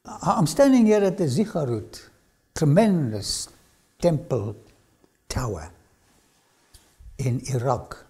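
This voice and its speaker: An older man with an Afrikaans accent, a lecturer telling his own story to camera in a studio, swinging from loud phrases to whispers. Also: a little high, big pitch swings, slow pace, long pauses, breathy edge. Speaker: archaeology lecturer. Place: studio with dark backdrop.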